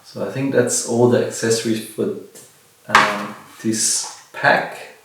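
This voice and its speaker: A middle-aged man with a gravelly voice, casually showing and reviewing a product at a table in a bare room, picked up by a camera a few metres away. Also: fairly low pitch, steady tone, slow pace. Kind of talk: casual unboxing demonstration. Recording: very echoey room, distant mic, faint noise.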